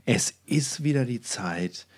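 A man's voice: medium pitch, lively intonation, slow pace. A man co-hosting a podcast, chatting casually and often hesitating as he searches for words. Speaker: podcast co-host. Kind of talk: casual chat.

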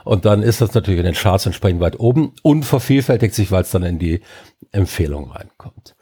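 Older guy w a stuffy cold ranting on a podcast, kinda husky and mellow. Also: deep voice, animated, fairly quick. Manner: ranting, emphatic, many fillers. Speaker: podcast co-host. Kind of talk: casual opinionated chat.

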